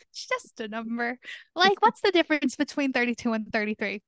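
A woman's voice: a little high, sing-song, moderate pace, no room sound. A woman in her early thirties co-hosting a podcast, bubbly and giggly in casual chat. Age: 30s. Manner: bubbly and giggly, lots of fillers. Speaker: podcast co-host.

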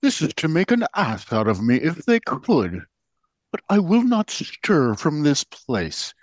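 A man's voice: fairly high pitch, huge pitch swings, a little slow, dry recording, exaggerated voice. A man playing a grudging, put-upon playboy Corgi in an audio play, delivered theatrically.

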